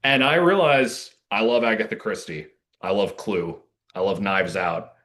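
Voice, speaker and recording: A man's voice: lowish voice, a little slow, a bit bright. A man talking casually and reflectively as an interviewed playwright, earnest and discursive, with many asides.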